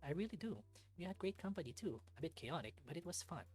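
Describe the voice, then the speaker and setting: Host acting as friendly young student, eager, raised pitch, husky, visual novel character, faint music behind. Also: big pitch swings, quick pace, darkish tone. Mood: cheerful, agreeable.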